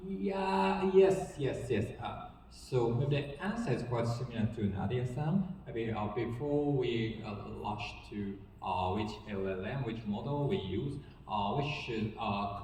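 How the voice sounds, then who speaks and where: Japanese-accented man, halting, in an echoey hall. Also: fairly low pitch, a little mellow, a little hiss or hum. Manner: halting, many fillers, apologetic. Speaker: panelist. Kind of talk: panel discussion. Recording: echoey hall, distant mic.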